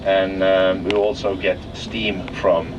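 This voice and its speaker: A man from Norway with a Norwegian accent, guiding visitors around an industrial site and pausing often to search for English words. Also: deep voice, flat delivery, muffled recording. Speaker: tour guide at an industrial plant. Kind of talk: guided tour commentary. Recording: distant voice under loud outdoor traffic noise.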